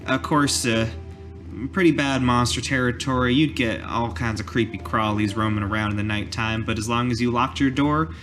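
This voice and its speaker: A man voicing a weary village cobbler in a tabletop roleplay, telling his story in a halting, folksy way. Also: mid-range voice, fairly bright tone, close to an everyday voice. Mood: wistful. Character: weary male village cobbler recalling a massacre. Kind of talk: in-character tabletop roleplay monologue. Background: background music.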